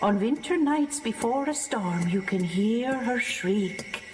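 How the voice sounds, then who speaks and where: An actress playing an eerie, mournful teller of ghost legends in an audio drama, with a haunting, drawn-out delivery. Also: female voice, on the high side, lively intonation. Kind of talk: theatrical ghost-story acting. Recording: long echoing reverb.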